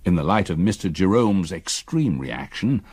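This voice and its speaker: Husky, low gentleman narrator. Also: sing-song, dry recording, heavily acted. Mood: sombre, resolute. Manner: formal, measured literary diction. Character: resolute gentleman narrator recounting a gothic ghost story. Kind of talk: scripted first-person drama narration.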